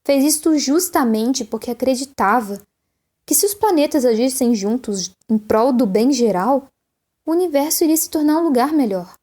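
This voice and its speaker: A high-pitched actress as a proud alien mining heiress. She testifies formally and solemnly, with an aggrieved, theatrical air suited to science-fiction audio drama. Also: lively intonation, normal speed, mellow. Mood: defensive, earnest.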